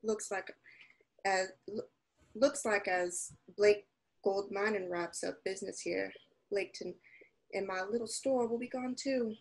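A woman's voice: medium pitch, fairly even intonation, a little slow, close to an everyday voice. A woman playing a gossipy, worried frontier shopkeeper in a radio drama, fretful and hesitant. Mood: worried, puzzled.

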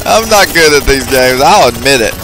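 A man's voice: mid-range voice, expressive, moderate pace, rough recording. A man giving casual, off-the-cuff commentary as he goes through a video game. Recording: game music behind the voice. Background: video game music.

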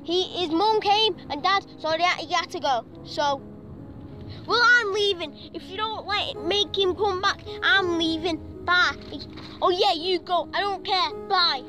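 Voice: extremely high pitch, unhurried, music in the background. A puppeteer dramatically acting out several characters in an improvised puppet show.

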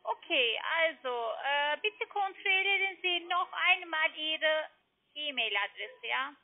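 A woman with a foreign accent, not a native speaker, working as a call centre agent, pressing and repetitive in halting sentences. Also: high voice, expressive. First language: not German. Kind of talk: phone sales call. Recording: muffled narrow phone line.

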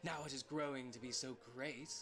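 A man playing a scheming, flattering swindler in an amateur audio drama, with an obsequious theatrical manner. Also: medium pitch, normal speed, creaky.

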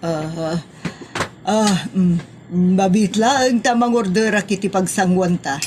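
A woman portrays an exasperated middle-aged auntie in a comedy drama. She speaks very slowly with an Ilocano accent, stretching words into exclamations. A faint noise sits behind her voice. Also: mid-range voice, a little mellow, close to an everyday voice. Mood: solicitous.

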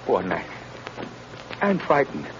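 A man's voice: mid-range voice, wildly swooping pitch, unhurried, noisy background. An actor playing a terrified young condemned man in a 1930s radio drama, pleading and breathless. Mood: frightened. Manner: breathless, pleading, on the edge of panic. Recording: muffled old radio broadcast.

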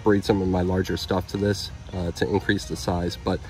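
A man's voice: deep voice, little pitch movement, moderate pace, darkish tone, faint music behind. A man in his thirties from Illinois, explaining flower traits in careful detail outdoors. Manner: knowledgeable, detailed, matter-of-fact. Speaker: flower breeder. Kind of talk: casual interview about flowers. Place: outdoors in a garden.

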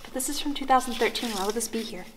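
Fast-talking young student reporter, woman acting. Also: on the high side, noisy background, close to an everyday voice. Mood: tense, determined. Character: determined female student reporter investigating disappearances. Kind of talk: found-footage horror film acting, with on-camera report.

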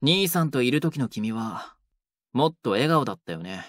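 A man playing an earnest, quietly lovestruck young delinquent in a romantic audio drama. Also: medium pitch, very animated, normal speed, no room sound, clean studio sound, lightly acted. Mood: protective.

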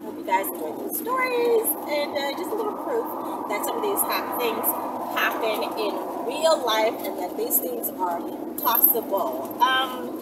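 A woman's voice: on the high side, sing-song, measured pace. A woman in her thirties tells stories in a casual, blunt, slangy way, chatting to camera as a vlogger. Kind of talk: casual storytelling chat to camera. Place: inside a moving car. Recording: distant mic, loud vehicle noise. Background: loud road and vehicle noise.

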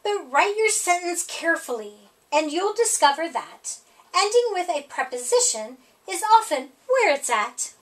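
A middle-aged woman reads a children's picture book aloud, with expressive, sing-song emphasis on the rhymes. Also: extremely high pitch, a little slow. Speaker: storytime reader.

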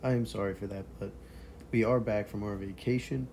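Young male game commentator, hoarse from a lost voice, lowish pitch, laid-back, quiet chuckles, noisy recording. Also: fairly quick. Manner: relaxed, chuckles quietly while playing. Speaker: mobile game streamer. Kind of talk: casual gameplay commentary.

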